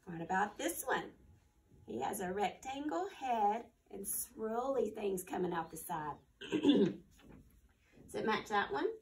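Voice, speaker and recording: A woman's voice: on the high side, vocal fry, recorded from a distance. A woman hosting a storytime for young children, reading aloud and talking to them in simple phrases and questions. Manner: simple instructions and questions addressed to small children. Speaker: children's library storytime host.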